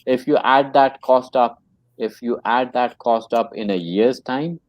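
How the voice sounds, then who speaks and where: A man's voice: mid-range voice, unhurried, no echo, lo-fi sound. Earnest man telling a training story. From Pakistan. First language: English. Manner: repeats phrases, earnest storytelling. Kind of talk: casual conversation.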